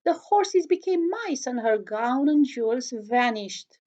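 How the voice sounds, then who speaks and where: Woman, children's story reader, unhurried pace, expressive, chatty asides, slightly dull lo-fi recording. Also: high-pitched. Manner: expressive, chatty, addresses young listeners with questions. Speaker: storyteller reading aloud to children. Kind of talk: animated read-aloud of a children's storybook with asides.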